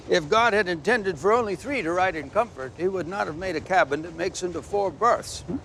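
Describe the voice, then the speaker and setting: An actor as a chatty, chuckling priest from a period film, proud of his country and quick to tease. His voice sits a little high for a man, with a faint hum of train noise underneath. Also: creaky. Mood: jovial.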